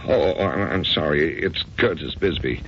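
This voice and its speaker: An actor playing an older, grieving husband in an old-time radio drama, anguished and apologetic, speaking haltingly and broodingly. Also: male voice, very deep voice, crowd noise. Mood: troubled, apologetic. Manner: hesitant, brooding, halting delivery. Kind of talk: old-time radio drama acting and first-person narration. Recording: dull, noisy old radio recording.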